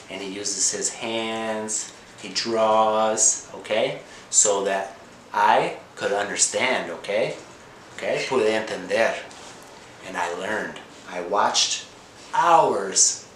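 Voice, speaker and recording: A man's voice: lowish voice, fairly bright tone. An American man teaching language learners, repeating simple phrases, often checking with "okay?" and sometimes switching between English and Spanish. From Indiana, United States. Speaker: language teacher, channel host. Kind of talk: slow comprehensible-input lesson for learners. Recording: echoey room with noticeable background noise.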